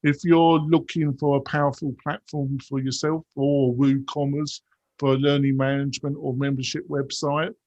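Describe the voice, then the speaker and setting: A man's voice: medium pitch, little pitch movement, moderate pace, darkish tone, dry recording, muffled recording. A man hosting a tech podcast, introducing guests and reading sponsor messages in an upbeat, announcer-like way. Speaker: podcast host.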